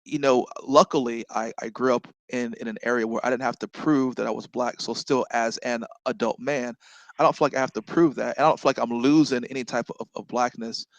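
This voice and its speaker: A man's voice: medium pitch, steady tone, a little fast, no room sound, poor audio. Earnest middle-aged Southern man. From North Carolina. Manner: earnest, repeats words and restarts, says "right?" often. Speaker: interview guest. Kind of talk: casual conversational interview.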